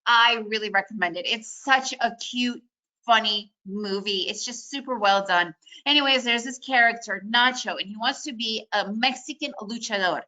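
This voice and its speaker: A woman with a bright, forceful voice teaching day trading in a webinar. She is a native Russian speaker who grew up in the United States. Her talk is chatty and upbeat, pitched a little high, and full of "you know" and "right?". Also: normal speed. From Soviet Union, raised in the United States.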